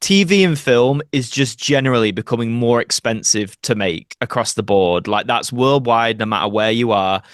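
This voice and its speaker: A British man speaks as a podcast guest in a casual, explanatory style. His mid-pitched voice is recorded dry, with very little room sound, and his delivery is earnest and conversational. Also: moderate pace, few pauses, clean tone, dry recording. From United Kingdom.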